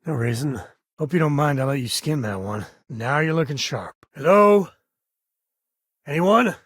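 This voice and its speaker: An actor playing a wry, laid-back former ranger and space explorer in a video game, a husky man talking in a folksy, conversational way. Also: fairly high pitch, animated, unhurried, no room sound, studio quality. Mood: urgent. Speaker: video game companion character. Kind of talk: video game voice acting, casual dialogue.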